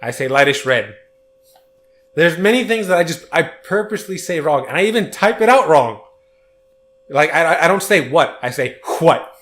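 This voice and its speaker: Young male podcast co-host, higher voice, rambling and animated, light hum underneath. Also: normal speed. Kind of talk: casual chat.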